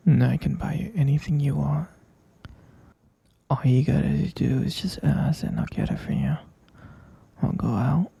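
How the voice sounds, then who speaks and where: A soft, gravelly whisper from a man playing an obsessive yandere captor, dark and husky, breathed close into a dry mic. He coos and soothes with clingy insistence. The lilt wanders widely while he speaks unhurriedly. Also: fairly high pitch, heavily acted. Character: obsessive yandere male kidnapper, sweetly possessive. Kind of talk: ASMR roleplay.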